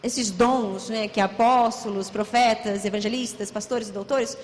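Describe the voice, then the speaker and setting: A Brazilian woman with a São Paulo accent interpreting a sermon live, speaking fluently and quickly with small restarts. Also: mid-range voice, steady tone, slight background noise. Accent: São Paulo Portuguese. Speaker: interpreter and pastor. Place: church hall. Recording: church hall reverb.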